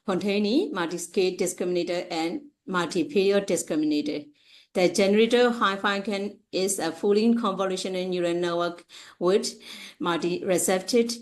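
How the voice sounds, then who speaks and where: A woman with a Burmese accent giving an academic presentation in a halting, hesitant way with frequent uhs. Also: lowish voice. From Myanmar. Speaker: conference presenter, researcher.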